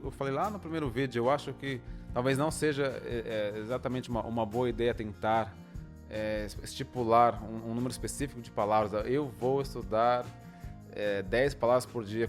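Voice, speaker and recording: A young Brazilian man with a Brazilian Portuguese accent and an average-pitched voice talks through his ideas in a relaxed chat. He thinks aloud, pausing and restarting as he explains at length, with faint music underneath. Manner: thoughtful, explains at length with many pauses and restarts. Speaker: co-host, language learner. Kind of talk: casual chat.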